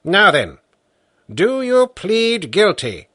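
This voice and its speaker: A man reading the part of a stern, patient judge who questions the accused formally and slowly. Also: sits high, sing-song, fairly bright tone, dry recording, clean studio sound, pitched above the performer's own voice, full character voice. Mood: stern questioning.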